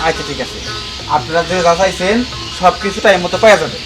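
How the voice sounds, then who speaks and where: A man acts as a cowardly, meddling servant in a comedy drama. His voice is high and quick, deferential yet chatty, with a Bangladeshi accent. Music runs behind the speech. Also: expressive, poor audio. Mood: obliging. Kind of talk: Bengali comedy drama acting.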